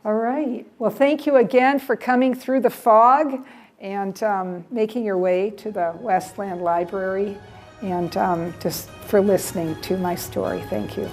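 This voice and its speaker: A woman's voice: mid-range voice, steady tone, a little slow, a little mellow, soft background music. An older American woman giving a casual travel talk to a library audience, chatty, repeating words and trailing off with "yeah". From United States. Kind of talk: casual presentation with audience questions. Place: library event room.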